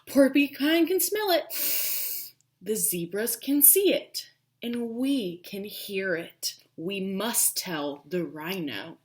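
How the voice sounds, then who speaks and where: Excited baboons from a children's storybook, read by a woman. They call out emphatically, with a lively, swooping pitch and drawn-out endings. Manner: emphatic, calling out. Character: loud, excited troop of baboons heralding rain.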